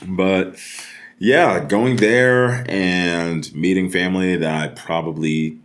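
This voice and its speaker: A man's voice: low-pitched, fairly even intonation, slow. A man from the United States, a podcast guest telling casual comedic stories with profane, slangy exaggeration.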